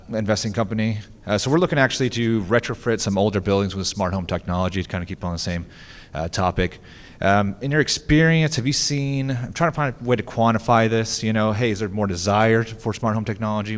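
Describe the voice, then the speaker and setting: A man in a conference audience asking the speaker a direct, businesslike question. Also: lowish voice. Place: large conference hall. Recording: echoey hall reverb.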